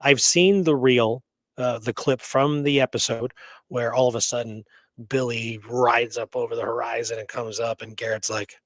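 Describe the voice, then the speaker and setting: A man's voice: medium pitch, steady tone, normal speed, no room sound. A middle-aged American man chatting casually and emphatically about his opinions, in colloquial language with the odd swear word. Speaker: podcast co-host, history researcher and author. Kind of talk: casual opinionated history chat.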